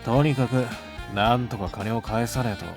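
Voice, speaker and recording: A man playing a cool, curt high school boy with rough, blunt speech in an anime-style voice drama. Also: steady tone, normal speed, lightly acted. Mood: grumbling. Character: cool, gruff high school heartthrob boy, secretly flustered. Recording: music behind the voice. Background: background music.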